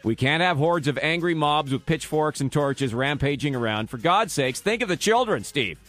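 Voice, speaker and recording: A man's voice: a little high, lively intonation, normal speed, fairly bright tone, soft background music. A man hosting a sports radio show with casual banter, joking in a wry, mock-serious way. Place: radio studio.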